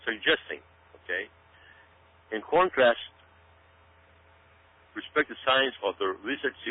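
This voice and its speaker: A man giving radio commentary straight to his listeners, speaking emphatically and dramatically, repeating himself and often checking in with "okay?". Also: mid-range voice. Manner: dramatic, hyping build-up. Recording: tinny phone-like sound with hum.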